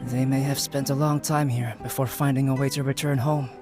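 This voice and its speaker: An actor playing a composed, erudite young scholar in a video game, measured, articulate and formal. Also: male voice, medium pitch, level tone, music in the background, natural voice. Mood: explaining, thoughtful. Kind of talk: scripted video game voice acting.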